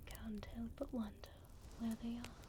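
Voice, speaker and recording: A woman playing a gentle, regal vampire duchess in an ASMR roleplay, speaking softly and formally in a soothing whisper, close to the microphone. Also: on the high side, flat delivery, background noise, lightly acted. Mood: tender, curious. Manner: soft, soothing, formal and unhurried. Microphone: close binaural ASMR microphone.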